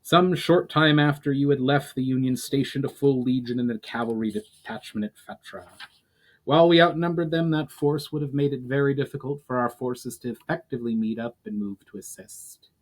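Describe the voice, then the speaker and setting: A man's voice: medium pitch, normal speed, lightly acted, rough recording. A man voicing a loyal male military commander, formal and courteous, in a fantasy story reading.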